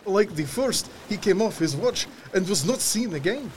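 A man playing a troubled sea captain who reads out his ship's log in terse, deliberate phrases. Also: sits high, lively intonation, a little hiss or hum. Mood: uneasy, dread. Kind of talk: dramatic, clipped ship's log narration. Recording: water and boat ambience behind voice.

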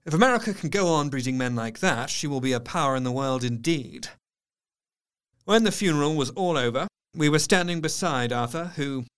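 An actor playing a sober, observant doctor who narrates his diary in a measured, reflective way in an audio drama. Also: fairly high pitch, a bit bright. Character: thoughtful, sober English doctor, loyal and observant.

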